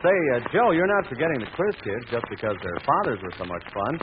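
A man's voice: mid-range voice, very animated, fast talker, over a noisy crowd. An American man working as an announcer in an old-time radio style. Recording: noisy tinny vintage radio broadcast.

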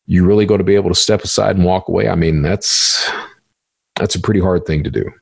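A man's voice: sits low, fast, creaky, slightly rough, dry recording, studio quality. A man hosting a podcast, asking questions in a casual, informal and earnest way. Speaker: podcast host and interviewer. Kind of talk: casual conversational interview.

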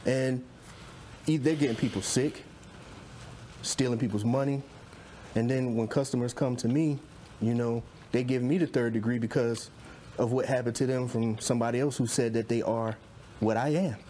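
A man's voice: mid-range voice, fairly even intonation, normal speed, warm tone, slight background noise. A man in his late thirties chatting casually as a chef being interviewed. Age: late 30s, nearly 40. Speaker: celebrity chef and caterer, guest.

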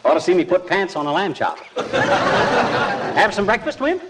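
An American actor playing a folksy, bustling husband in a 1940s radio comedy, with jaunty, slangy talk. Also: male voice, sits high, sing-song, normal speed. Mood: proud, bustling. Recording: noisy vintage radio broadcast.

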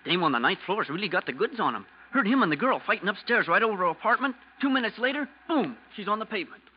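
An actor playing a chatty, nosy young elevator operator who talks in slang, in an old-time radio drama. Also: male voice, high-pitched, sing-song, fast. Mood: gossipy. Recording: muffled, noisy old radio recording.

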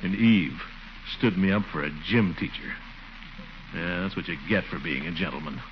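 An actor playing a lonely, self-pitying middle-aged uncle, muttering and grumbling to himself in a 1940s radio comedy style. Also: male voice, low-pitched, moderate pace, slight background noise, natural voice. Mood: wistful, bitter. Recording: muffled old radio recording with music.

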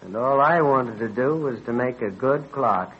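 A man playing an earnest, excitable colonial American inventor in an old-time radio drama, explaining things eagerly and modestly. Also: mid-range voice, normal speed, very noisy, natural voice. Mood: humble surprise. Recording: husky-sounding dull vintage radio recording.

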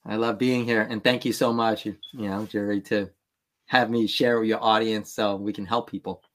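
A man's voice: on the low side, flat delivery, moderate pace, a bit bright. A man in his thirties, born in Vietnam, talking as a podcast guest in an earnest, emphatic, motivational way. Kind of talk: candid interview talk.

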